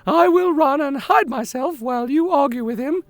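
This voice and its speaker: A man's voice: very high-pitched, normal speed, no echo, voice pushed up. A man voicing a flustered, alarmed woman housekeeper from a storybook. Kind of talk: storybook character reading.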